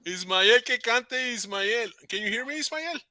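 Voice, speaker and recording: A man hosting a radio talk show, excitable, dropping in Spanish words, with a New Mexico accent. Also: very high voice, normal speed, dry recording. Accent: New Mexico Spanglish. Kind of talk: casual chat.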